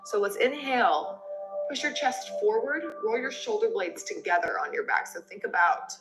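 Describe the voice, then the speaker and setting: A woman's voice: mid-range voice, animated, moderate pace, roomy sound, music in the background. A woman instructor guiding a yoga class in a conversational, encouraging way, giving step-by-step movement and breathing cues.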